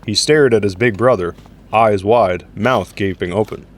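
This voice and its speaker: A man narrates a story in a fairly low voice. His delivery is scripted, descriptive storytelling in the third person. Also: moderate pace.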